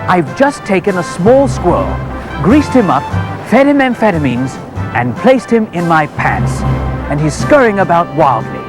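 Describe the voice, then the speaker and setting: An actor playing a pompous, grandiose knighted gentleman hosting a mock television documentary. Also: high voice, very animated, normal speed, music in the background. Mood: smugly matter-of-fact. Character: pompous knighted gentleman TV host, suavely depraved.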